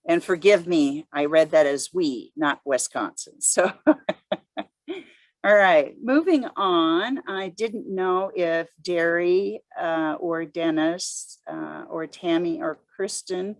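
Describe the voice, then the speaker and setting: A woman with a low voice moderates a work conference call. She talks slowly and deliberately, with halting phrases, and the sound is dry and close. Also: no echo.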